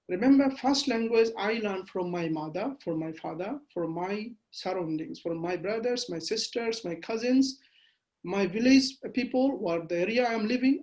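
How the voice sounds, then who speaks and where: A man from Bangladesh, a Bengali speaker, giving a measured webinar lecture over a video call with frequent fillers. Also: sits high, darkish tone, low-quality recording. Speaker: guest lecturer.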